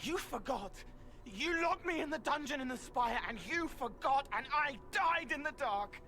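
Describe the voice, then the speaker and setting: A man playing an anguished young spirit turned human in a video game, speaking in halting, intense fragments. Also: extremely high pitch, animated, bright, forceful tone, heavily acted. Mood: anguished, vengeful.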